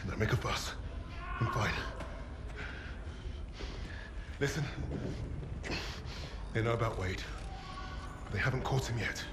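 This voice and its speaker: An actor playing a resolute, defiant captive Allied lieutenant in a war game cutscene, grave and controlled in delivery. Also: male voice, fairly low pitch, measured pace, noisy background. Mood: low, urgent.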